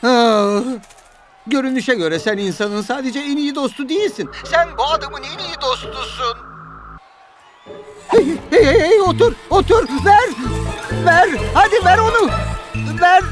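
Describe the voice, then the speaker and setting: Goofy, high-pitched cartoon character. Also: male voice, lively intonation, a little slow. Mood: coaxing. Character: goofy, excitable cartoon character, doting dog owner. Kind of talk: animated cartoon dub. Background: music.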